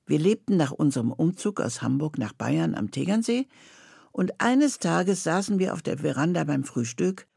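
An older woman presenting a scripted introduction with a personal anecdote, husky and creaky in voice. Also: sits low, very animated, normal speed, mellow, no echo, clean studio sound.

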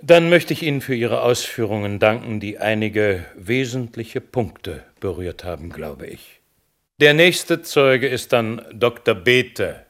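An actor playing a courteous, formal hearing chairman in a German radio play, speaking solemnly and deliberately. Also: mid-range voice, lively intonation, a bit bright.

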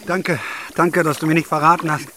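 A shy, squeamish city man in an audio drama. His voice sits slightly high and he speaks with fastidious hesitation. There is some noise around him. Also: flat delivery, pushed and bright. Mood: awkward, polite. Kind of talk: German audio drama acting.

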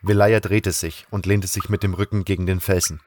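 Low-voiced man narrating fantasy. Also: little pitch movement, fairly quick, a little mellow, faint music behind. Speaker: narrator of a fan audio drama. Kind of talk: scripted first-person fantasy narration.

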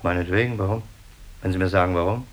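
A man's voice: sits low, quick pace, natural voice. Sardonic, probing detective played by a man. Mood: insistent, suspicious. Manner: insistent, sarcastic questioning. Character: probing, sardonic police detective conducting an interrogation. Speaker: actor in a radio drama. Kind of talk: German radio play dialogue.

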